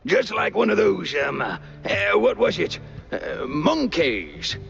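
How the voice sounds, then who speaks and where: A man playing a dim, chatty cartoon game character with a gravelly voice and a rambling, stammering manner. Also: high voice, huge pitch swings, slow, fairly bright tone, muffled recording, faint music behind, full character voice. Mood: chummy. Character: dim, chatty male cartoon henchman type.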